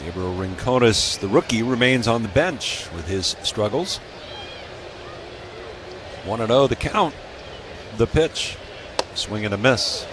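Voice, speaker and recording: A male American baseball play-by-play announcer on a live radio broadcast, with clear ambient noise behind him. His voice sits at a medium pitch as he describes the game in radio commentary style. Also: lively intonation, slow, pauses often.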